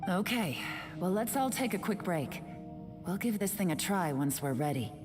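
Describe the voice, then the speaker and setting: Game casting: shrewd, composed woman acted by a woman, low voice, measured and frank, music behind. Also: animated, natural voice. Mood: calm, reflective. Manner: measured, composed, matter-of-fact. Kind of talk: video game voice acting.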